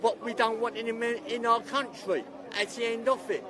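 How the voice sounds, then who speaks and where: A man with a high voice answers a street reporter bluntly and plainly. He speaks at a protest outdoors, and a loud crowd surrounds him. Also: fairly even intonation, moderate pace. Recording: outdoor recording with crowd around. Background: crowd noise.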